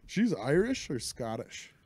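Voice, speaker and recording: A man casually chatting as a podcast host, asking questions and dropping in relaxed, joking remarks. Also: fairly high pitch, unhurried, dry recording, clean studio sound. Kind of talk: casual chat.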